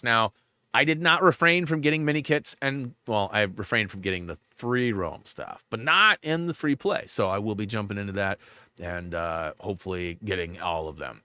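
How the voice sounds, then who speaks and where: A man's voice: mid-range voice, pushed and bright, smooth, no echo, studio quality. A man hosting a gaming livestream in a rambling, casual way, full of fillers, and a bit stuffed up. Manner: rambling, filler-heavy, lots of "like". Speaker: livestream host.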